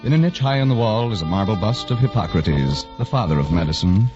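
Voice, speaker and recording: Dramatic radio announcer over loud music. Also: male voice, lowish voice, lively intonation. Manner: measured, dramatic. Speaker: announcer and narrator. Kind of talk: 1940s radio scripted narration. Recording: dull 1940s radio recording.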